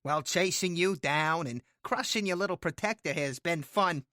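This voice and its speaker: A woman's voice: lowish voice, normal speed, bright, forceful tone, no echo, studio quality. A woman playing a sly, exasperated male orc gang boss in an audio drama, drawling with sardonic condescension. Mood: gloating.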